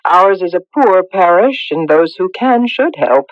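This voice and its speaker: Farm mother for radio play: middle-aged, kindly, fussy, very lively intonation, dry studio sound. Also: on the low side, measured pace, dry recording. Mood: pleasant, chatty. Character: kindly, proper farm mother, flustered and chatty. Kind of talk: radio drama acting. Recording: dull, dry old radio recording.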